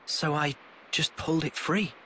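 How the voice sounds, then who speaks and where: An actor playing an earnest, thoughtful young male hero in a video game, soft-spoken and questioning. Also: on the high side, a little slow, slight background noise, rough recording. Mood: sincere, curious. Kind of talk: video game cutscene voice acting.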